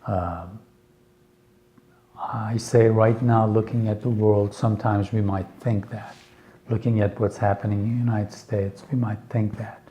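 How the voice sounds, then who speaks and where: A man whose first language is Farsi, giving a slow, earnest lecture for English learners with many pauses and fillers. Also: fairly low pitch, steady tone, highs cut off, a little hiss or hum. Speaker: teacher.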